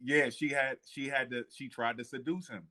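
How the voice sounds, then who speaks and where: A man with a medium-pitched voice speaks in a casual, unscripted conversation. His delivery is informal and halting, as he thinks aloud and corrects himself. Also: steady tone, crowd noise. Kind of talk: casual history discussion.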